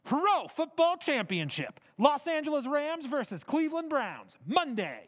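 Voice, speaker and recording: Man, radio announcer, formal crisp delivery, lively pitch, dull lo-fi sound with hall reverb. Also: measured pace.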